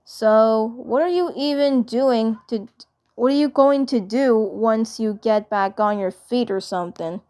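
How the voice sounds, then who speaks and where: A woman's voice: a little high, measured pace, dark tone, natural voice. A woman voicing a tough cartoon superhero girl with a blunt, teasing manner.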